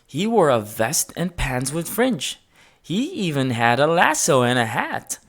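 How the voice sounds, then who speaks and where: Low-voiced, expressive woman reading a storybook. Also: a little slow. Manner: expressive, dramatic emphasis, sound-effect words. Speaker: storybook reader. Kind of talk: read-aloud children's story narration.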